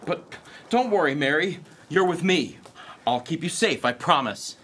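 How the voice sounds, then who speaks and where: A woman's voice: deep voice, animated, a little slow, breathy edge, exaggerated voice. A woman playing an earnest, protective and worried party guest in an audio drama. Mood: reassuring.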